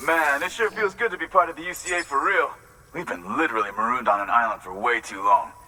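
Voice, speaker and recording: Game outpost worker, very bright forceful voice, excitable and friendly, slightly distant with faint noise. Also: male voice, medium pitch, quick pace. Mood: hopeful, fired up. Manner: excitable, casual American slang. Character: upbeat eager male outpost worker, friendly, starstruck. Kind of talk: video game cutscene acting.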